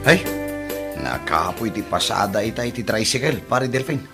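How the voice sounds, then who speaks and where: An actor with an Ilocano accent playing a teasing, complaining tricycle driver buddy in a radio comedy drama. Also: male voice, lowish voice, animated, moderate pace, loud music behind the voice.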